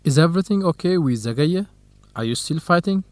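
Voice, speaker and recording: Gentle young male researcher, acted by a man. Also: on the high side, animated, brisk, no echo, natural voice. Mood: encouraging. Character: supportive young male academic researcher, gentle, hesitant loyal friend. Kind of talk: radio drama acting, clear dialogue for language learners.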